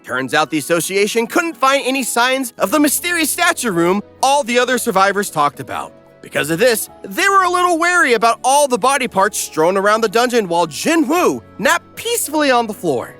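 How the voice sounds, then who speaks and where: Bright, animated comedic recap narrator, male. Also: extremely high pitch, fairly quick, few pauses, soft background music. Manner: wisecracking, animated. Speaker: narrator, host.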